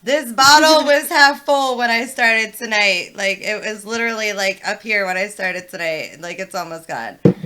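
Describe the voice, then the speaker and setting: A woman hosting a casual livestream chat, swearing freely and filling her talk with "like" and quick laughs. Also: mid-range voice, very noisy. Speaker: stream host.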